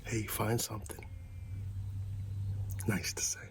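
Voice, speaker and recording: A man speaking in a soft, half-whispered ASMR roleplay style as a gently encouraging friend, leaving long pauses. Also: lowish voice, little pitch movement.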